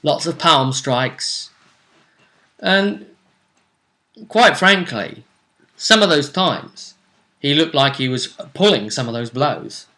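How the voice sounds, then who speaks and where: A man hosting a tabletop roleplay vidcast, recapping fights in a casual but dramatic storytelling way with emphatic pauses. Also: fairly high pitch, lively intonation, sharp tone.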